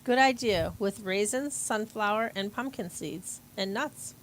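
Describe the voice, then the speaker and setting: A woman plays a health-minded party-goer in an amateur audio play. Her pitch is average for a woman, and faint noise and room ambience sit behind the voice. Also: unhurried, lightly acted, low-quality recording. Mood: prim.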